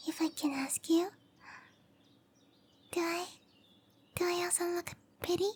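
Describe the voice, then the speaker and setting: A petite, sweet-natured cowgirl monster girl played by a woman speaks in a tiny, very high and breathy voice. She takes her time, bashful and halting, in the soft, close style of an anime ASMR roleplay. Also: slight background noise. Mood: flustered, bashful. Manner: bashful, hesitant, slow and gentle.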